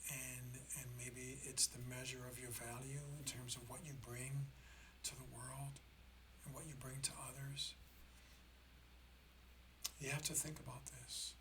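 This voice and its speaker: A slightly hoarse man hosting a reflective spiritual talk, speaking in a halting, deliberate way and often checking in with the listener. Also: medium pitch, level tone, background noise. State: slightly hoarse after a throat infection. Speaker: host of a spiritual learning channel.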